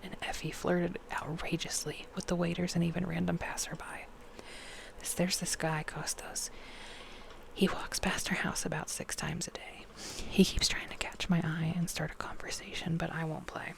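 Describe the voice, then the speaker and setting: A reader whispering a novel aloud in ASMR style, soft-spoken and given to chatty asides. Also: mid-range voice, creaky.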